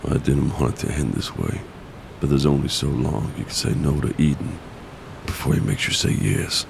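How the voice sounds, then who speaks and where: An actor playing a gruff, grumpy old mercenary mentor in an audio drama, teasing and gravelly, with a fatherly streak. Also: extremely low pitch, animated, normal speed, a little hiss or hum, full character voice. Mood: grim. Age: older.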